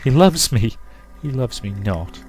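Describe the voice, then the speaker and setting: A man's voice: mid-range voice, sing-song, a little slow, a little mellow, soft background music. A man voicing a frightened, unhinged young woman, lovesick and desperate, in a visual novel. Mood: obsessive muttering. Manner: desperate, manic.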